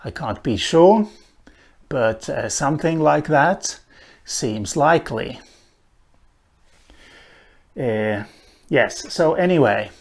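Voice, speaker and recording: Slow, thoughtful man with Swedish roots. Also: medium pitch. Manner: slow and thoughtful, with many "uh" pauses. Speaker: language teacher. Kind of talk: unhurried spoken lesson on a language.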